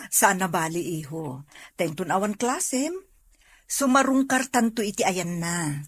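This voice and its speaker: A woman with an Ilocano accent acting a gentle, affectionate mother in a radio drama, soothing in manner. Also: fairly low pitch, animated, a little slow, no echo. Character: gentle mother talking to her child.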